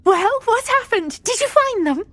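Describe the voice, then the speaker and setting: High-pitched, genteel British witch. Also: expressive, measured pace, fairly bright tone, no echo, exaggerated voice. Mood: excited, delighted. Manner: genteel, fluttery, wistful. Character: gentle, wistful, timid middle-aged witch, fond of butterflies. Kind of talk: video game voice acting.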